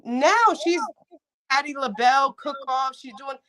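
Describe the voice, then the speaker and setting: A woman co-hosting a casual podcast, animated and building up outrage as she talks. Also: high-pitched, a little slow. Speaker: podcast co-host. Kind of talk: casual chat.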